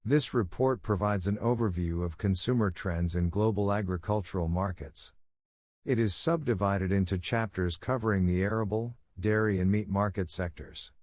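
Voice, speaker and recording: A man reading scripted academic passages aloud in a measured, careful, formal way. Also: fairly low pitch, a little mellow, no room sound. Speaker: reader of model read-aloud test passages.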